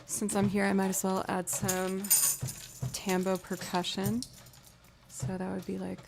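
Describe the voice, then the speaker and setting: Chatty woman with a gravelly low alto. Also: completely flat delivery, measured pace, noisy background. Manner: chatty, talks aloud to herself. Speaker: livestreaming musician. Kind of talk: casual livestream chat while building loops.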